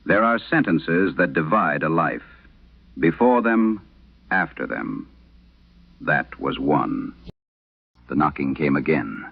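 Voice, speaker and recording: A man narrating a scripted horror tale in vintage radio style, solemn and measured, with dramatic pauses. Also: very deep voice, expressive, gravelly, husky. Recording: dull, band-limited vintage radio sound.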